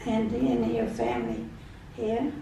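A woman's voice: mid-range voice, very animated, moderate pace, creaky, mellow. An older woman, chatting casually as a patient during treatment. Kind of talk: casual chat. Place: indoor treatment room. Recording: very echoey room, distant from mic, noisy.

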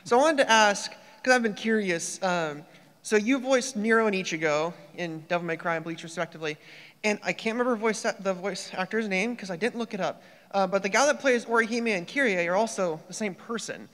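Male audience member, high-pitched, eager and wordy, casual question, echoing hall acoustics. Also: brisk, a bit bright. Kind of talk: casual fan question at a microphone. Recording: echoey convention hall sound.

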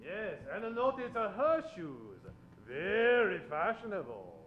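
A man playing a courteous, self-assured general sleuth in a stage radio play, speaking in a measured, formal way. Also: high-pitched, sing-song, slight background noise, exaggerated voice. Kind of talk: old-time radio acting. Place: theatre stage. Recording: dull stage recording.

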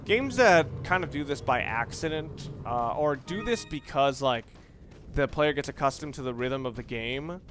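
A man giving casual, reflective gameplay commentary, rambling and halting as he thinks aloud. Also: medium pitch, expressive, over music. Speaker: let's play commentator.

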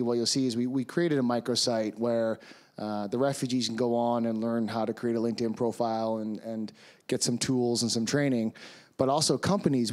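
A Canadian man in his thirties speaks on a conference stage through a handheld microphone. His voice sits at an ordinary middle pitch. He talks in a relaxed, conversational way, with frequent ums and uhs. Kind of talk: conference presentation. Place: large conference hall with audience.

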